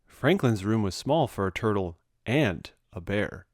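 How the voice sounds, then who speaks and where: A man narrates a children's picture book in an expressive read-aloud style. His pitch rises and falls in a lively way, and the recording is close and dry. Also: a little slow, no echo. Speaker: storybook reader.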